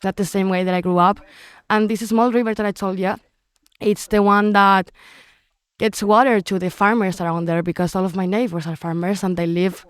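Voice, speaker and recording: A woman's voice: mid-range voice, fairly even intonation, normal speed, clean tone, dry recording, clean studio sound. A woman, speaking English as an as an interview guest, earnest and sometimes searching for words. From Chile. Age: teens. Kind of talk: casual interview.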